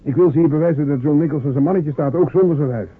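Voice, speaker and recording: Male actor as a small, stubborn fisherman; earnest, defiant; husky tone, thin lo-fi radio sound. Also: a little high, fast talker. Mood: determined. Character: proud small fisherman John, stubborn, wants respect. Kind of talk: radio play acting. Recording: narrow phone-like old radio recording.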